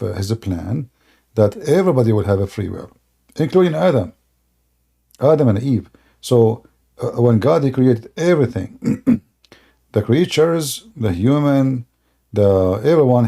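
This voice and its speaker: A man, hosting a live-streamed religious debate and explaining slowly with many fillers and restarts. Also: lowish voice, vocal fry, warm tone. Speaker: debate host.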